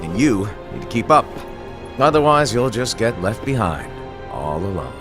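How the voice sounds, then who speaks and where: An actor playing a playful, teasing and cryptic mentor in a theatrical, breezy way for a video game cutscene. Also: male voice, lowish voice, very animated, moderate pace. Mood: earnest, cryptic. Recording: music behind the voice.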